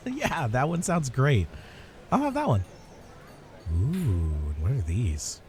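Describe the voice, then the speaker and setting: A man's voice: medium pitch, huge pitch swings, takes it slowly, a little mellow, slight background noise, heavily acted. A man playing a friendly, awkward and chatty cafe customer in a comedy audio roleplay, hesitant and rambling. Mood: chatty, upbeat. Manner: hesitant, rambling, good-natured. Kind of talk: ASMR comedy roleplay acting.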